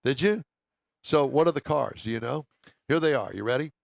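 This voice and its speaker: A man's voice: mid-range voice, fairly quick, vocal fry, dry recording, studio quality. An older American man co-hosting a radio show, chatting casually and reading items aloud in a jokey, conversational way. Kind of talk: casual banter and reading news stories aloud.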